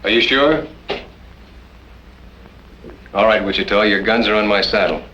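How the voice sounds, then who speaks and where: A man's voice: lowish voice, a little slow, sharp tone. An actor playing a terse, suspicious man of the West who gives orders dryly in a radio western. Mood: grim. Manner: terse, commanding, dry. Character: stern, suspicious western cattleman taking charge. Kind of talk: old time radio western acting. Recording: muffled, noisy old radio recording.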